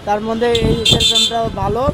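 A man's voice: extremely high pitch, monotone, fast talker. A man in a helmet answering a street interview at a petrol station, rambling with many fillers. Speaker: motorcyclist customer. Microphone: reporter's handheld mic. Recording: loud wind and traffic noise outdoors.

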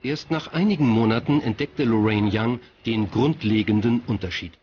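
A man's voice: on the low side, moderate pace, darkish tone, dull audio, slight background noise. A man reading scripted documentary narration in the role of narrator.

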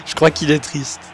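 A young man from Switzerland, commentating his own gameplay excitedly and laughing often. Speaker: gaming YouTuber.

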